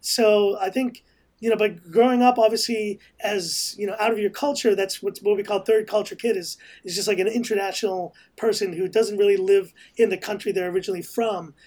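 A man's voice: sits high, steady tone, a little fast, a little mellow. A man with an American accent, a podcast guest talking casually and rambling with many restarts. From raised in Hong Kong, family from El Salvador. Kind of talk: casual conversation.

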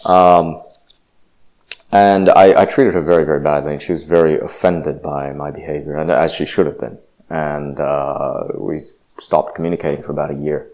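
Man with a really low voice telling his own story, lots of uh and um, thinking out loud. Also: fairly even intonation, normal speed. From grew up partly in Indonesia. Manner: reflective, hesitant with many fillers. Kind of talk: personal testimony monologue.

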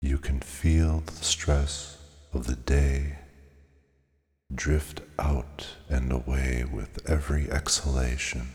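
A man narrating a scripted guided meditation in a measured, soothing, hypnotic way with deliberate pauses. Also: very deep voice, darkish tone. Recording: long cavernous reverb on the voice.

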